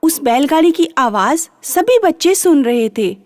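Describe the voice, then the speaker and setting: A woman's voice: high voice, lively intonation, a little slow, a little mellow, no room sound. A woman narrating a children's bedtime story, repeating and drawing out phrases for drama.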